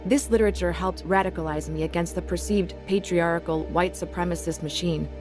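A woman's voice: lowish voice, steady tone, fairly quick, lightly acted. An actress playing a rebellious, angry young woman in a first-person radio drama, both narrating and acting. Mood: reflective. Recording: music bed behind the voice. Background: music.

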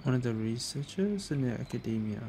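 A man's voice: mid-range voice, expressive, a little fast, noisy background. A man giving casual gameplay commentary, thinking aloud in short fragmented remarks. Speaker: gaming streamer. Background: game music.

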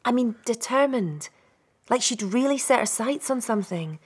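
A woman's voice: a little high, animated, moderate pace, husky. A woman acting in a radio drama as a defensive, gossipy stage actress being questioned, speaking expressively. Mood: confiding, gossipy.

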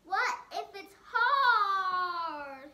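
Woman putting on a shrill, whiny worry monster. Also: expressive, drawn-out words, sharp tone, exaggerated voice. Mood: whiny taunting. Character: sneaky monster whispering whiny, taunting worries. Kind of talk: children's storybook read-aloud. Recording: roomy home sound, faint noise.

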